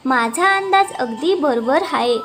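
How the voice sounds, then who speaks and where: A woman's voice: high voice, lively intonation, a little slow, noisy background. A woman playing a caring, shrewd village wife in a cartoon moral story, solicitous and insistent. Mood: suspicious.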